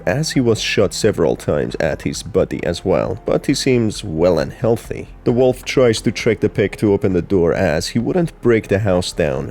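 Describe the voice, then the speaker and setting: Low, mellow male explainer. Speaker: narrator explaining horror game lore. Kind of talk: scripted explainer narration.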